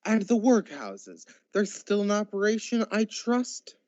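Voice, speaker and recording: A man's voice: high voice, very animated, a little slow, a little mellow, dry recording, lo-fi sound. A man playing a miserly, grumpy old businessman in a radio drama, curt and scornful. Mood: sardonic.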